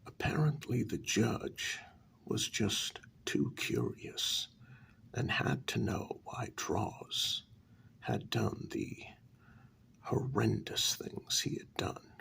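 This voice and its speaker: A grave, troubled male asylum staffer acted by a man recounts a dark case in an ASMR role-play. His soft voice sits low and crackles with heavy fry, often slipping into a half-whisper. He speaks in short, deliberate phrases with long pauses. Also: sing-song, heavily acted. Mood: grave, hushed.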